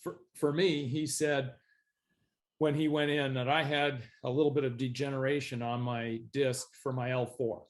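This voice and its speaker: A man's voice: medium pitch, flat delivery, moderate pace. A man in his fifties, a guest on a talk show, talking in a plain, matter-of-fact way. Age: 50s. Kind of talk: casual interview chat.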